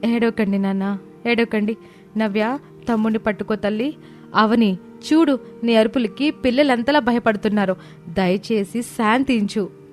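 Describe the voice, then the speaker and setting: A woman voicing a gentle, wronged motherly woman in a family story, pleading softly and protectively. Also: on the high side, a little slow, soft background music, lightly acted. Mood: soothing. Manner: soft, imploring, soothing. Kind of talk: dramatized Telugu family story acting.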